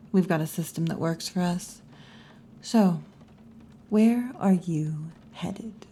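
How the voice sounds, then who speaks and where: A wry, muscular tomboy wolf girl bartender, played by a woman in an ASMR roleplay. She teases in a low, mellow, breathy near-whisper and takes her time, with very long, slow phrasing. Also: slight background noise. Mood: relaxed, playful. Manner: slow, unhurried, wry and teasing.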